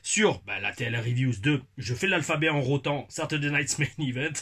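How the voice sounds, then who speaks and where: A man's voice: mid-range voice, brisk, a bit bright, husky. A man in his forties hosting a casual wrestling review video, exuberant and rambling, repeating his catchphrases.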